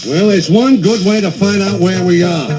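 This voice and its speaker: A man's voice: high-pitched, normal speed, lightly acted. Man doing a bossy cartoon caveman, blustering, raised voice, music underneath. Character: bossy blustering male caveman, leader of the pair.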